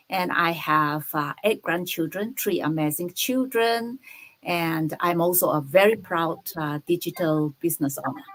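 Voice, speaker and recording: Panelist: woman from Brunei, lower voice, halting delivery with pauses. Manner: hesitant, with pauses. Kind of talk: casual self-introduction.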